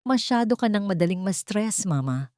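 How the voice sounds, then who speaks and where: A woman's voice: medium pitch, expressive, normal speed, a little mellow, no echo, clean studio sound, lightly acted. A woman voicing a cold, controlling daughter-in-law who speaks sharply and condescendingly with false sweetness in an audio drama. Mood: falsely sweet.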